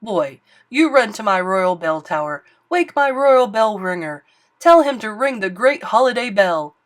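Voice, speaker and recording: A woman voicing an excitable, greedy male king who boasts and barks orders in a loud, commanding voice. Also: mid-range voice, measured pace, close to an everyday voice. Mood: bossy.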